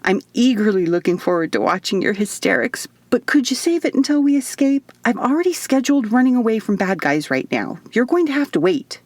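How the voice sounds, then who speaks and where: A woman's voice: a little high, big pitch swings, fairly quick, hardly any pauses, darkish tone, no echo, pitched above the performer's own voice. A woman voicing a crazy, cheerful, pixie-like little woman in an audiobook, with a perky, breezy, rambling manner. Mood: manic cheer.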